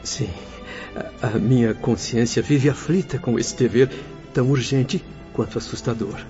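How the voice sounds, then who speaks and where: An actor with a Brazilian accent playing a devout, courteous young doctor spirit who explains things formally in a radio novel. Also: male voice, mid-range voice, moderate pace, dark tone, lightly acted. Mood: uneasy. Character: earnest, devout young male doctor spirit, humble guide. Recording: slightly dull lo-fi audio, faint music.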